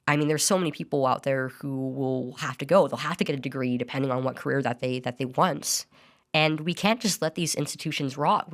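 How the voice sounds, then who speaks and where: A young adult woman telling her personal story as a podcast guest, earnest and often restarting her sentences. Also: deep voice. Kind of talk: personal testimony in a podcast interview.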